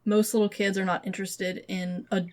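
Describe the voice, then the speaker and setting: A woman's voice: mid-range voice, level tone, moderate pace, a little mellow. A woman co-hosting a podcast, chatting casually and informally about entertainment. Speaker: podcast co-host. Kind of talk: casual movie discussion.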